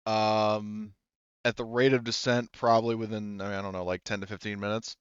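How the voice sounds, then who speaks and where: A man's voice: on the low side, flat delivery, fairly quick, smooth, no room sound, muffled recording. A man running a tabletop game as game master, narrating casually and often restarting his sentences.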